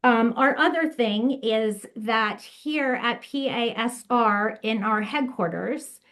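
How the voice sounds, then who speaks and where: A woman presenting on a video call, reading prepared notes at an unhurried pace with occasional fillers. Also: on the high side, a bit bright. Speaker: association staff presenter. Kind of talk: webinar presentation.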